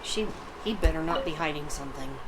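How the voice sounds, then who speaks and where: A woman's voice: deep voice, brisk, noisy background. A woman streamer giving casual, offhand commentary while playing a video game.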